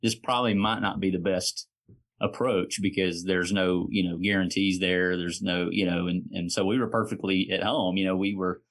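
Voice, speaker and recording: A man with a Southern American accent talks casually as an interview guest. His pitch is somewhat low, with a noticeable gravelly creak running through the voice. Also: fairly even intonation, normal speed. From Southern United States. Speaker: podcast guest, foster and adoptive father. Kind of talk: casual chat.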